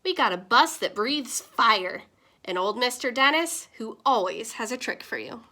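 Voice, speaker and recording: A woman's voice: a little high, lively intonation, a little slow. Woman doing a cheerful, wise grandmother. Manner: warm, reassuring, folksy.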